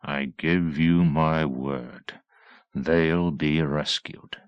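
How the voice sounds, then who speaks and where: A man reading the part of a brooding, hardened war veteran in an audiobook, terse and deliberate. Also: rumbling low, steady tone, smooth, no room sound, highs cut off, voice pushed down, exaggerated voice. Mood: hushed, resolute.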